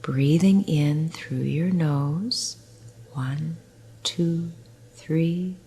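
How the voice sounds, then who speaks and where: Slow, breathy woman guiding relaxation. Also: sits low. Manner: soft, slow, soothing, often near a whisper. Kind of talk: guided relaxation script.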